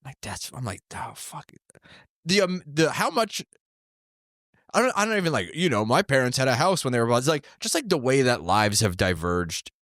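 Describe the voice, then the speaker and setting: A man's voice: medium pitch, big pitch swings, normal speed, a bit bright, no echo, clean studio sound. A man in his thirties, a comedian, telling stories in casual chat and rambling with many fillers and restarts. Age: 30s. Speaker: comedian guest. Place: New York City.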